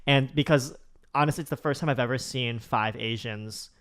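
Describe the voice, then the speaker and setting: A man's voice: medium pitch, moderate pace, fairly bright tone. A man co-hosting a podcast in casual chat, rambling and enthusiastic with lots of "like" and restarts. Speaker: podcast co-host.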